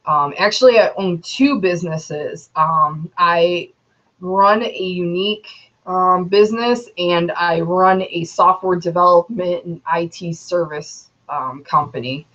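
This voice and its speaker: Young woman, twenties, slow rambling vlog talk, lowish voice, dull lo-fi sound. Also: fairly bright tone. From Metro Detroit, Michigan, USA. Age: 20s. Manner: rambling, many ums and you knows. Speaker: YouTuber.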